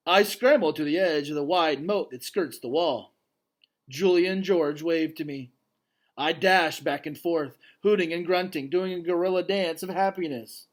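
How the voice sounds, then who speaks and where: A man reading a children's story aloud expressively, with dramatic pauses and a conversational storytelling style. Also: a little high. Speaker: read-aloud narrator. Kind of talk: storytelling read aloud with casual asides to young listeners.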